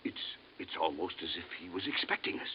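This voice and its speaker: A man's voice: low voice, sing-song, fast, slightly rough, full character voice. A man playing a brusque, blustering commander of a rocket crew in old-time radio style. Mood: startled. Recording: dull old radio broadcast.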